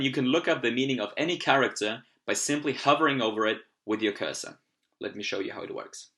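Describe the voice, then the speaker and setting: Bright-voiced Austrian man explaining software. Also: mid-range voice. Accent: Austrian German. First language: German. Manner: upbeat, explanatory, occasional fillers. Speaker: language learning tutorial host. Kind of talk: screen-recorded software tutorial.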